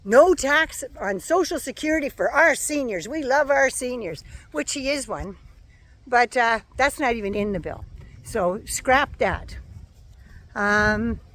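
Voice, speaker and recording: A woman giving a sarcastic, profane political rant as a vlogger, her voice going hoarse. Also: a little high, lively intonation, unhurried, fairly bright tone. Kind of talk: casual ranting monologue.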